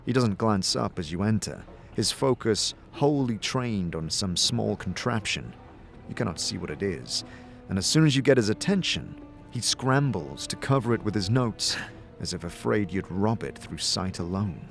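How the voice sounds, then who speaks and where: Low-voiced male fantasy narrator, very expressive. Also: normal speed, creaky, faint music behind. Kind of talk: scripted second-person fantasy narration.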